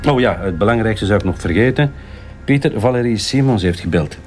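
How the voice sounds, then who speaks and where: A man's voice: on the low side, animated, fairly quick, darkish tone, soft background music, natural voice. Methodical Flemish male detective played by an actor. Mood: matter-of-fact. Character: methodical, cautious male police detective, loyal partner. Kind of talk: naturalistic television crime drama acting.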